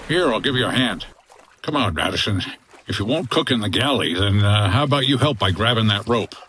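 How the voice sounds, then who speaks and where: An actor playing a wry 1950s hotel owner and boat skipper in a noir comedy audio drama, dry and terse. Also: male voice, lowish voice, expressive, a little fast, a bit bright, slight background noise. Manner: dry, hard-boiled, terse.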